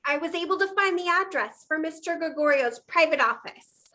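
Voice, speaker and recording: Lady doing sweet naive young girl friday in a detective play, high bright voice, earnest and apologetic. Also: normal speed. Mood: timid, earnest. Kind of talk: noir stage play reading.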